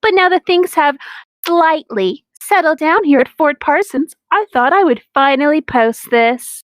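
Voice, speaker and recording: A woman's voice: high voice, animated, a little slow, dry recording, voice pushed up. Hollow customer-service cheer from a woman. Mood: fake cheer. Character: falsely cheery fan fiction author, dead inside.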